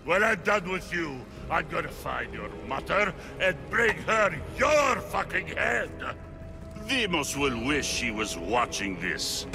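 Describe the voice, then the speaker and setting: A man's voice: fairly high pitch, very animated, slow, music in the background, heavily acted. An actor playing a brutal, foul-mouthed male gang boss in a video game, snarling profane threats. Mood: taunting. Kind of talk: video game cutscene acting. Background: game score.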